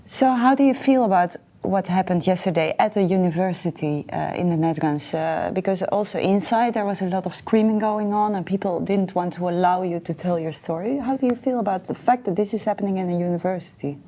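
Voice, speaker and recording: A woman's voice: on the low side, brisk, hardly any pauses, warm tone. A woman interviewing a guest, asking questions and prompting often with short backchannels.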